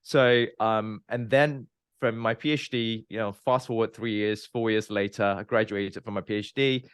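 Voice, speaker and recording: A man in his thirties, raised in Malaysia, speaks as an interview guest with a fairly low voice. He explains things in a measured, methodical way, filling gaps with "um" and "you know". The recording is dry and close, with almost no room sound. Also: steady tone, clear, resonant tone, no room sound. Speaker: guest scientist. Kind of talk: interview, recounting his career.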